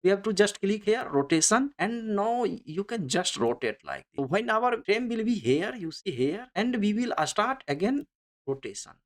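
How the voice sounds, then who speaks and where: A software tutorial instructor narrating unscripted step-by-step instructions in halting, repetitive English with non-native phrasing. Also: mid-range voice, sing-song.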